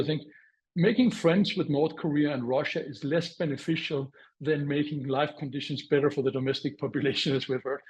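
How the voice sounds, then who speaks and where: A man's voice: on the high side, a little mellow, slightly rough, dull audio. A man from Denmark, a portfolio manager giving market commentary on a webinar over a video call, thoughtful and prone to restarting phrases, with light laughs. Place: home or office, remote.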